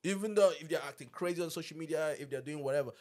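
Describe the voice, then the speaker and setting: A man's voice: a little high, rapid-fire, a little mellow. A man, chatting casually and emphatically as a podcast host, repeating himself in slang on a close studio mic. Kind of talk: casual chat. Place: small indoor podcast studio. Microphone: close studio mic on boom arm.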